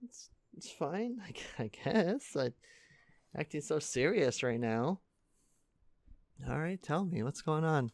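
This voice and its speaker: A man playing an earnest, caring high school senior in an intimate audio roleplay, speaking casually and haltingly to a best friend. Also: lively intonation, dry recording, clean studio sound. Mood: earnest, reassuring. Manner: casual, halting, stammering, conversational.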